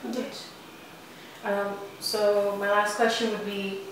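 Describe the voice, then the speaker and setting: A woman's voice: mid-range voice, flat delivery, slow, slight background noise. A woman interviewing a guest, asking polite, scripted-sounding questions. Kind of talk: casual student interview. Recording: echoey room from a distance.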